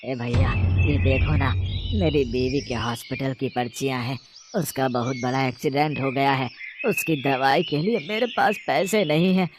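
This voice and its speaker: A woman voicing a drunken, bullying and wheedling father in an animated Hindi moral story. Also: a bass voice, moderate pace, background noise. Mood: pleading. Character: drunken, violent, wheedling father, a grown man.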